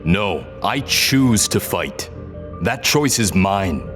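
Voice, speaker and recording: An actor playing a haunted, defiant rebel prisoner whose memory is fading, speaking in a halting, strained and stubborn way in an audio drama. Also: male voice, sits low, very animated, music in the background, heavily acted. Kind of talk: scripted audio drama acting.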